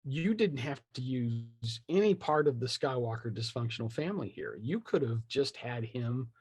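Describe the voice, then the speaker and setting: A man's voice: medium pitch, lively intonation, moderate pace, a little mellow, breathy edge, dry recording. A man in a casual podcast chat, opinionated and rambling as he thinks aloud. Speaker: podcast speaker discussing film franchises.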